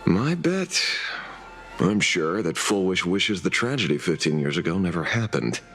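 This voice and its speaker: Game detective, male: wry vigilante type, very animated intonation, teasing and theatrical. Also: deep voice, a little slow, exaggerated voice. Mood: musing. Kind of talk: video game story dialogue.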